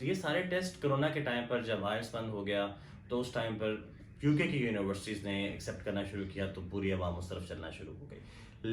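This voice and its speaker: Pakistani guy explaining exam stuff, steady and clear, echoey room w/ a bit of hiss. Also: mid-range voice. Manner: mixes Urdu and English, measured explanatory delivery. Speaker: host. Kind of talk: bilingual Q&A on language exams.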